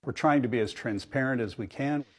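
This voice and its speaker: A man's voice: mid-range voice, brisk. A man answering interview questions as a company executive in a reassuring manner. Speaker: airline executive being interviewed.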